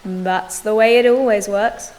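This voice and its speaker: A woman's voice: medium pitch, fairly even intonation, measured pace, darkish tone, natural voice. A woman playing a level-headed, wry young teammate in a fan audio drama. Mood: wry, easygoing. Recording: long reverb, music behind.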